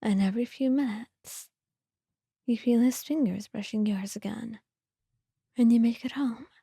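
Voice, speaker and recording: A woman reading scripted ASMR narration in a soft, breathy whisper, with a gentle, hushed storytelling manner. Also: fairly high pitch, a little slow, dry recording, clean studio sound.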